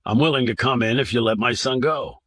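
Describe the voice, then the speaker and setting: A man's voice: medium pitch, brisk, a bit bright, dry recording, studio quality, natural voice. A man voicing a desperate, grieving father who speaks bitterly and pleadingly. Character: desperate, grieving father whose son is kidnapped. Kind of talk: audiobook narration.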